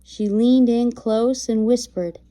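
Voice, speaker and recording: A woman reading a children's picture book aloud expressively, with animated emphasis, acted sound effects and chatty asides to her listeners. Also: on the high side, takes it slowly. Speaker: read-aloud storyteller.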